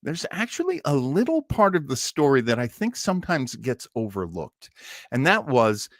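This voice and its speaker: An American man hosting a casual live stream, talking in a halting, theatrical, self-deprecating way. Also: mid-range voice, wildly swooping pitch, no room sound, studio quality. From United States. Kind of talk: casual live chat introducing an old radio show.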